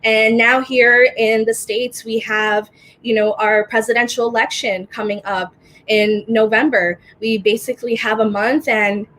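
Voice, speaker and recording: A woman's voice: a little high, steady tone, unhurried, a little hiss or hum. A young woman who grew up in the US after being born in the Dominican Republic, speaking earnestly as a panelist with lots of ums over a video call. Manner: warm, earnest, many fillers. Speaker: panelist, organizer. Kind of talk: casual spoken remarks.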